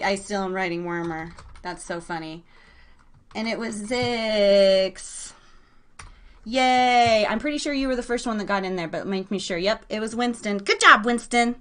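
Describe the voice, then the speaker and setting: A woman with an excitable, informal manner, chatting casually as the live host of a guessing game and cheering on players in slangy language. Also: mid-range voice, a little slow. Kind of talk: casual live radio game-show chat.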